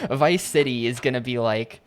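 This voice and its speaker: A man streaming a video game, chatting casually and rambling between reading game text aloud. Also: mid-range voice. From United States. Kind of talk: casual gameplay commentary.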